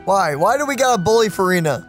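A man's voice: sits high, animated, fast talker, soft background music. A man giving casual gameplay commentary as a let's play co-host.

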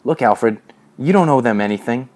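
A man's voice: mid-range voice, animated, normal speed, a little mellow, natural voice. A man voicing a chubby, loyal young man in a story, a torn peacemaker with casual, persuasive street talk. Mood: coaxing.

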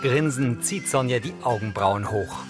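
A man reading scripted audio description for television in a measured, matter-of-fact manner. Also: mid-range voice. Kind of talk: scripted narration. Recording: studio voice over music.

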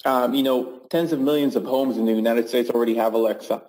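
A man co-presenting a sales webinar, explaining the topic in a calm, plain way. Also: mid-range voice, fast, low-quality recording.

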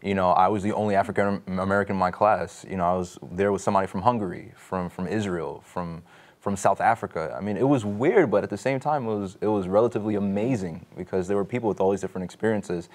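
A man in his thirties from New York joins a studio panel discussion. His voice is very deep and his talk is casual and conversational. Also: fast talker, darkish tone. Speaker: panelist on a religious study show. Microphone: lapel mic.

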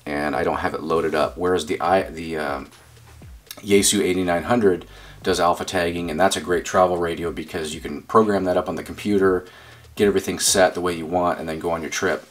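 An American man in his forties with a low voice. He talks casually, as in a hands-on hobby demonstration, recorded in a small home office. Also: fairly even intonation, a little fast. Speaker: amateur radio vlogger. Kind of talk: casual demonstration and radio chat.

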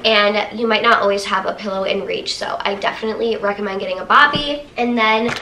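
A woman's voice: medium pitch, fairly even intonation, a little fast, background noise. A young woman with a stuffy, nasal voice from a cold, chatting cheerfully to camera as she shows baby items in her living room. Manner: chatty, upbeat, laughs often. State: congested, nasal. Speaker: vlogger. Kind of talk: casual haul video. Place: living room, seated on the floor. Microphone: camera held at arm's length, then a camera across the room.

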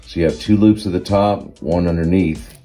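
A middle-aged man giving step-by-step instruction in measured, short phrases with pauses. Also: sits low, steady tone, warm tone, soft background music. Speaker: expert sailor demonstrating.

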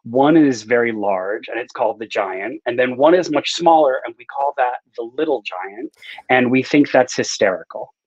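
A man's voice: medium pitch, lively intonation, moderate pace, no echo. A middle-aged American man, an author being interviewed, chatting casually and telling stories on a video call with slightly muffled sound. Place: book-lined room at home, on a video call.

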